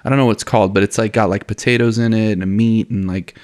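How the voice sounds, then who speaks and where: A man's voice: lowish voice, fairly even intonation, fast talker, darkish tone. A young man from Miami whose family is from Honduras, co-hosting a podcast in a laid-back chat, saying dude and you know a lot and laughing easily. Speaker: podcast co-host. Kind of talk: casual chat.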